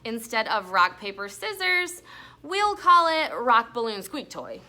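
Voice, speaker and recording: A woman's voice: on the high side, big pitch swings, measured pace, bright, forceful tone, heavily acted. A woman voicing a bossy, playful big sister in a children's storybook read-aloud, instructing and encouraging her little brother. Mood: eager, instructing.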